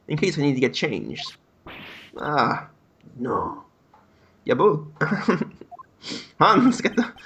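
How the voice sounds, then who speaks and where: A man's voice: fairly high pitch, sharp tone, highs cut off, slight background noise. A young man hosting a let's play, joking crudely and reading dialogue aloud. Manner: irreverent, crude, laughing often.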